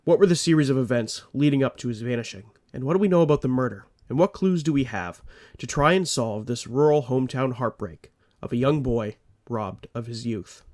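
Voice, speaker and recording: A man's voice: mid-range voice, expressive, fairly quick, a little mellow. Male podcast host, congested and slightly phlegmy, earnest true crime narration with rambling asides.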